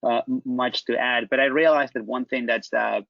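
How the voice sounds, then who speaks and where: Panelist, male, Spanish accent, gravelly, dry dull call audio. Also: mid-range voice, steady tone, no echo. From Spain. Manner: reflective, halting with repeated restarts. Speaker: company founder, panelist. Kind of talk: panel discussion.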